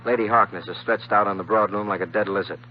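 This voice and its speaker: An American actor playing a breezy, flirtatious, slangy drifter working as a steward in a 1950s radio drama. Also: male voice, lowish voice, fairly even intonation, quick pace, slight background noise, lightly acted. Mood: hardboiled, wry. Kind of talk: 1950s radio drama acting, hardboiled first-person narration. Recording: dull old 1950s radio recording with music.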